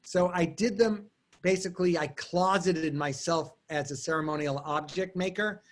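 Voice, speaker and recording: A man whose first language is German, telling stories and answering questions on a video call, quick and digressive with frequent restarts. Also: high-pitched. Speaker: guest artist and lecturer. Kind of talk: answering questions in an online talk.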